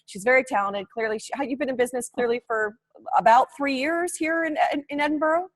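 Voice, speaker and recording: A bubbly woman hosts a casual live show with a fairly high, bright voice. She chats easily and laughs often, keeping things friendly and informal. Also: a little fast. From Oregon, USA. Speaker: host. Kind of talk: casual live-stream chat.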